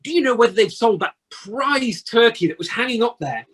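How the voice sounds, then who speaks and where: An actor playing an emotional, repentant old male miser in an amateur radio play, with theatrical, halting exclamations. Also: mid-range voice, lively intonation, a bit bright, slightly rough. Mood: excited, delighted. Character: repentant old male miser, desperate then giddily joyful. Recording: home video-call audio.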